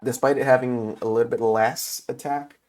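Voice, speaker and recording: A man who is sick with a cold, talking through a casual game tutorial in English, his second language, with many pauses and filler sounds. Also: medium pitch, steady tone, a little mellow. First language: not English. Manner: halting, many filler uhs. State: has a cold. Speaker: gaming video host.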